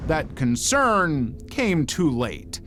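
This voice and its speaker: Man narrating true crime, lively higher-pitched voice. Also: extremely slow. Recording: faint music bed under narration. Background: background music.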